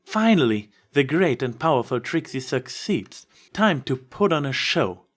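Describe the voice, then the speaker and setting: A man's voice: medium pitch, very animated, unhurried. A man voicing a proud, theatrical young female pony magician in a boastful, dramatic manner for a story reading. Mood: triumphant.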